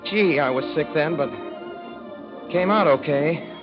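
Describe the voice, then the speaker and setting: A man's voice: on the high side, a little slow, natural voice. An actor playing an earnest, lovesick young racetrack worker, telling his story in folksy slang for a 1930s radio drama. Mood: wistful. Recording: dull old radio recording with music.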